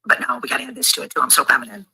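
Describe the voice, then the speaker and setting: A fast, bright-edged woman's voice with a husky grain, talking in a bitter, sarcastic rant. Her delivery is quick and profane, full of cutting remarks. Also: on the high side, very animated, rough recording. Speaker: livestreamer in a played clip. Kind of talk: ranting livestream monologue.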